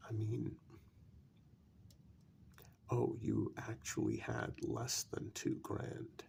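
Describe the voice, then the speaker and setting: Guy doing a calm cold male vampire for asmr roleplay, really gravelly soft voice, slow and persuasive. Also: lowish voice, a little hiss or hum, exaggerated voice. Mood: cold, reasoning. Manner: slow, deliberate, pausing between phrases. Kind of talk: soft-spoken ASMR role-play.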